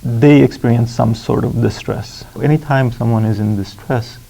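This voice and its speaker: Creaky, mellow man explaining formally. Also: medium pitch, fairly even intonation, rough recording. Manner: deliberate, halting, formal wording. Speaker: expert interviewee. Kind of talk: explanatory interview.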